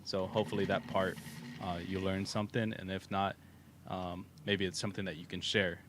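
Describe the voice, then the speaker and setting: A man with a Texan accent co-hosting a livestream, talking in a relaxed, rambling way with many fillers. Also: deep voice, fairly quick. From Texas. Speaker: co-host of a crypto livestream. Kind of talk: casual livestream chat. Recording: faint background noise.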